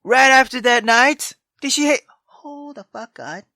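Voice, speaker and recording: A man hosting a gaming video with casual, reactive commentary, halting, repeating himself and swearing freely. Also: very high voice, sharp tone, dry recording, studio quality.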